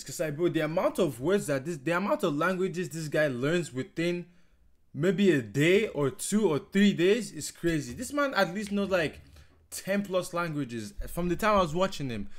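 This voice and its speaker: A young man hosting a casual reaction video from his bedroom, talking excitedly to viewers. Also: fairly high pitch. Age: young adult. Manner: excitable, talks to viewers directly, says bro and guys a lot. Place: small bedroom with red lighting. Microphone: over-ear headset.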